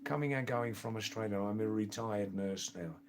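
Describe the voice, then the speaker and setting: A man's voice: lowish voice, normal speed, a little hiss or hum. Chatty older Anglo-Indian man. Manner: rambling, chatty, many fillers and restarts. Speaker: livestream host. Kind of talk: casual live-stream chat reading viewer comments.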